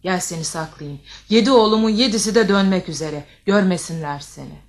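A woman's voice: fairly low pitch, fairly quick. A woman playing a motherly, protective giant ogress who speaks wisely and with authority in an audio fairy tale. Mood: welcoming, protective.

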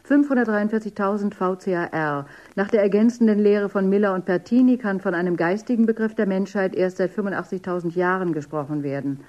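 A composed, dreamy woman living in a dystopia played by an actress. Her voice is mellow and dark, at a middle pitch. She speaks in a measured, articulate radio drama manner. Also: lightly acted. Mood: factual, reciting. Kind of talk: German radio drama acting.

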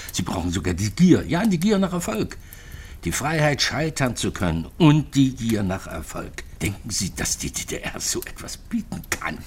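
A man's voice: deep voice, slight background noise. A man playing a disillusioned, impassioned East German technology expert in a radio drama, lecturing emphatically. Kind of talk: radio crime drama acting.

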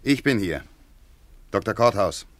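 A country vet in a vintage radio play. His voice is low and his talk is terse and dry. He comes across as brisk and sceptical, with little patience for superstition. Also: animated, no room sound. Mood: stern, indignant. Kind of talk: 1950s German radio drama acting.

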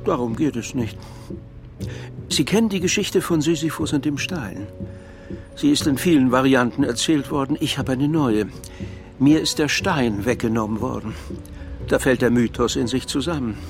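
An actor playing a reflective, philosophical man in a radio crime drama, arguing in a pensive, articulate and measured way. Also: mid-range voice, natural voice. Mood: wry, resigned. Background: faint background music.

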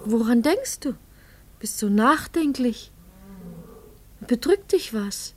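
An actress playing a soft-hearted, matter-of-fact teacher's wife in a conversational radio play, speaking gently. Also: female voice, a little high, very animated, takes it slowly, heavily acted. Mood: gently concerned.

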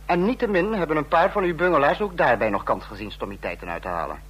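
An actor playing a sarcastic, domineering dictator in a radio drama, husky-voiced and biting as he scolds his subordinates. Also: a little high, lively intonation, fast, a bit bright, highs cut off. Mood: scornful anger.

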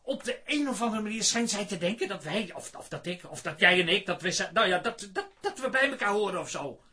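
A man's voice: sits high, lively intonation, fairly quick, pushed and bright. A male actor playing an insecure, flustered Dutchman in a radio comedy, stammering and sometimes mixing broken English into his native Dutch. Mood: agitated. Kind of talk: radio play comedy acting.

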